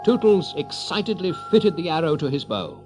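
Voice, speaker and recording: A man narrating a children's story with an expressive, theatrical storyteller's delivery. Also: a little high, normal speed, faint music behind.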